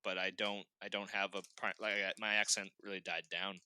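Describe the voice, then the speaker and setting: A man's voice: deep voice, level tone, a little fast, sharp tone, smooth. A young man from Boston, a podcast guest, chatting casually and laughing often as he restarts his sentences. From Boston, Massachusetts. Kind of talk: casual chat.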